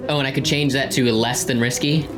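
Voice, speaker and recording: A man's voice: mid-range voice, steady tone, a little fast, music in the background. A man chatting casually as a player in a tabletop role-playing game.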